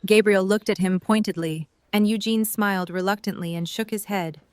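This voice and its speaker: A woman's voice: medium pitch, fairly even intonation, moderate pace, clear, resonant tone, no echo. A woman reading scripted story narration for an audio drama.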